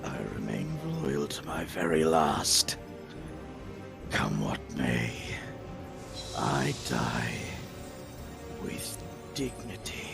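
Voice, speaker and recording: A British man voicing a proud, bitter fallen male villain with theatrical, menacing delivery and drawn-out whispers. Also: extremely low pitch, lively intonation, gravelly, over music, voice pushed down, exaggerated voice. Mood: defiant.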